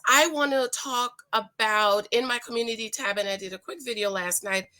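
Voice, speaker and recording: Raspy-voiced woman, bright and emphatic. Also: on the high side. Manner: preachy, emphatic, addresses viewers directly. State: hoarse, voice still recovering. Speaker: relationship commentary vlogger. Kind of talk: casual talk to camera.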